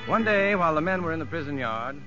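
An actor playing an earnest, educated prison psychologist, somewhat uneasy, in a 1950s radio drama. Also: male voice, a little high, lively intonation, fast talker, over music, close to an everyday voice. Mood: narrating. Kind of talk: 1950s radio drama acting with first-person narration. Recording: muffled 1950s radio recording.

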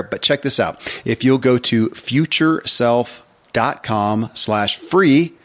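A man's voice: fairly low pitch, fairly bright tone. A man hosting a podcast, talking in an earnest, explanatory way. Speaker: podcast host. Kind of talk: podcast intro and interview talk.

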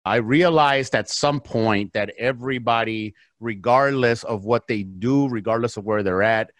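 Low-voiced American man telling his story. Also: dry recording. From south Los Angeles, United States. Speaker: interview guest, real estate investor. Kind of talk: casual personal storytelling in an interview.

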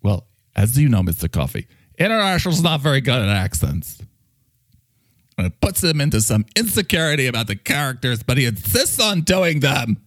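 A man's voice: mid-range voice, big pitch swings, moderate pace, fairly bright tone, no echo, pitched above the performer's own voice. A man as a camp, teasing talking microphone.

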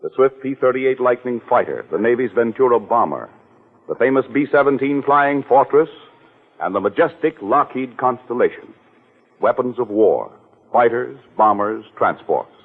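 A man's voice: medium pitch, breathy edge. A man announcing in a formal, declamatory 1940s radio style, reading a scripted message. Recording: muffled, narrow-band 1940s radio recording.

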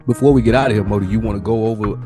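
Male podcast host, low-pitched, slangy and conversational, clear music bed behind. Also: fairly even intonation, very fast. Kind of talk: casual podcast chat.

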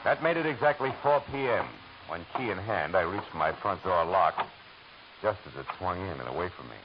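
An actor playing a dry, wisecracking private detective who also narrates, in a 1940s radio drama. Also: male voice, low-pitched, lively intonation, normal speed, lo-fi sound. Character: hard-boiled male private eye, dry and wisecracking. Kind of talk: 1940s radio acting with first-person narration. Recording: hissy old radio broadcast recording.

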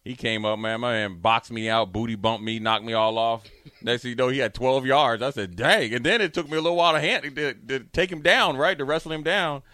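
A man telling casual sports stories as a radio co-host, animated and acting out what people said. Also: medium pitch, brisk, dry recording, studio quality. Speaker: radio show co-host, former pro football player.

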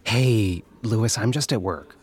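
A man's voice: lowish voice, a little slow, natural voice. A man playing a polite but awkward young call-centre agent in an audio comedy drama. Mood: awkward, evasive. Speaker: actor.

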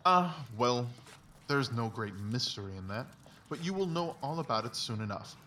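Man performing a brilliant analytical detective, measured, self-assured, audiobook drama, distant from the mic, noisy background. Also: mid-range voice, expressive, pushed and bright. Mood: confident, analytical. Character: brilliant analytical male detective, confident and philosophical.

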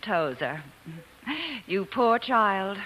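A woman's voice: medium pitch, lively intonation, extremely slow, highs cut off. An actress playing a cool, condescending woman with arch pity, in a 1940s radio drama. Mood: icy pity. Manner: pitying, arch. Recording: hissy 1940s radio recording.

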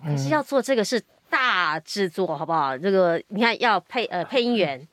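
A woman co-hosts a casual chat. She chimes in with animated, swinging intonation. The recording is dry. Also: mid-range voice, moderate pace, dry recording.

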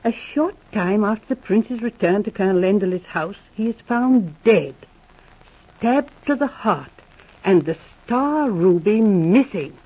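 An actress playing an earnest young woman secret agent in a 1940s radio drama, talking in a brisk, slightly prim way. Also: mid-range voice, expressive, slightly rough. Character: Miss Guest, sharp-minded young woman secret agent. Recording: dull lo-fi old radio transcription.

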